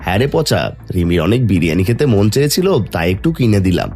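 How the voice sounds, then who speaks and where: A man playing a poor, gentle, doting village father in a Bengali cartoon, pleading and humble. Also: deep voice, very animated, quick pace. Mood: gentle, resigned. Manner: pleading, humble, soft-hearted. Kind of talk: Bengali fairy tale cartoon dubbing. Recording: music under the voice.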